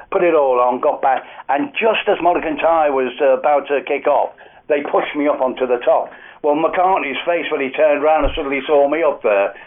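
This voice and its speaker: Older Liverpool man on a tinny line. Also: a little high. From Liverpool, England. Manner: rambling, anecdotal, many fillers. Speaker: music industry guest. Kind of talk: casual radio interview storytelling. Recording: tinny phone-like radio line.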